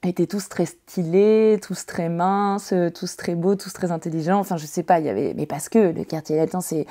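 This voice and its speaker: A woman's voice: on the low side, steady tone, normal speed, warm tone. A woman from northern France in her thirties, chatting as a podcast guest, hesitant, with many fillers and restarts. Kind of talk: casual interview chat.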